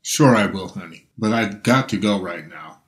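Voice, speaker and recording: A man voicing a smug billionaire businessman who speaks with annoying calm. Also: lowish voice, moderate pace, close to an everyday voice. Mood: dismissive.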